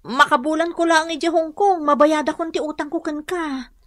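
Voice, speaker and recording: Woman acting high, plaintive struggling Filipino wife. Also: fairly even intonation, moderate pace, dry recording, studio quality, natural voice. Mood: pleading. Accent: Northern Luzon Filipino. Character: struggling, determined wife, pleading and hardworking. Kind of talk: radio comedy drama acting.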